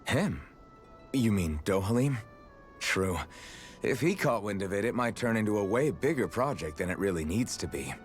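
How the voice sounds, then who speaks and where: A man's voice: lowish voice, animated, moderate pace, soft background music, close to an everyday voice. An actor playing an earnest, supportive young man in game dialogue, friendly and encouraging. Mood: curious.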